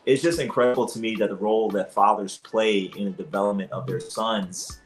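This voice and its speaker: A man with a fairly low voice hosts the conversation, affirming his guest and working his way toward each question. Faint music runs underneath. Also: fairly quick. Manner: engaged, affirming, rambling questions. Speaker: podcast host, interviewer. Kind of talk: casual conversational interview.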